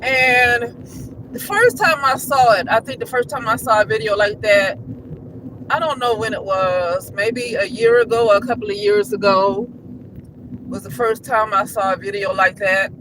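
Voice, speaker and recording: A man's voice: sits high, normal speed. A man vlogging in a casual, rambling monologue, often restarting his sentences and asking rhetorical questions. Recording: noisy street traffic behind.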